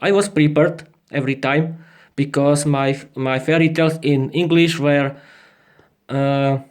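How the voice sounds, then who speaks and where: A man's voice: fairly high pitch, steady tone, a little slow. A man in his thirties with a Slovak accent, improvising a podcast monologue in hesitant learner English, often repeating and restarting his phrases. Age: 30s. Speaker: podcast host.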